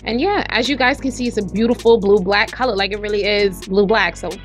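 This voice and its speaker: Woman vlogger, raspy, chatty, mid-range pitch, light music underneath. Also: steady tone, brisk. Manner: chatty, addresses viewers directly. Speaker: hair vlogger. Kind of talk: casual hair review and tutorial.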